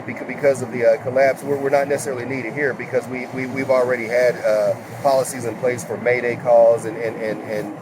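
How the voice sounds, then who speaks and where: A man giving a press briefing as a fire department spokesman, haltingly, often repeating words. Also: medium pitch. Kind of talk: press briefing answering reporters' questions. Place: outdoors at a fire scene. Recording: very loud outdoor vehicle and engine noise.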